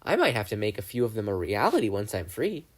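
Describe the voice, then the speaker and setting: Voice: mid-range voice, big pitch swings, fast talker, pitched below the performer's own voice. A reader voicing a gleeful, menacing male triangle dream demon who taunts and mocks, in a fan fiction audiobook. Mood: mocking. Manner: taunting, theatrical, mocking.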